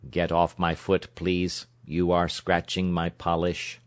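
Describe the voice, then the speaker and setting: A mechanical copper man, speaks very low in an utter monotone. He is stiff and polite, every word set down slowly in a storybook style. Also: no echo, studio quality. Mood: flat, matter-of-fact. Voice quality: harsh, metallic.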